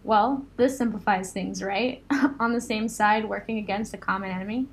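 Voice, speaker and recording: A woman's voice: medium pitch, steady tone, moderate pace, slight background noise, lightly acted. A woman playing a reasonable, plain-spoken young supervillain in an audio drama, conciliatory in manner.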